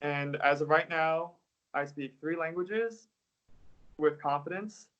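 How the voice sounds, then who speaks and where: A man's voice: on the high side, slow. Laid-back young man, muffled audio. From United States. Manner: relaxed, hedging with "like" and "I guess". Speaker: interview guest, English teacher. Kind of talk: casual interview answers.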